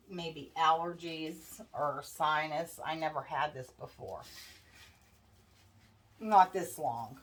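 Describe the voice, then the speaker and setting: Congested woman chatting slowly while crafting. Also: sits low. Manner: chatty, worried, addresses viewers as guys. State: congested, stuffy nose. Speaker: craft vlogger. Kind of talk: casual chat while crafting. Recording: distant mic with faint background noise.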